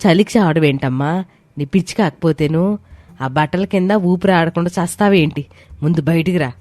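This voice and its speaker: A woman's voice: lowish voice, normal speed, darkish tone, no echo, natural voice. A woman voicing an irritable elderly mother-in-law in a family story, nagging and sarcastic. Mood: angry, exasperated. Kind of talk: dramatized moral story voice acting. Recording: music behind the voice.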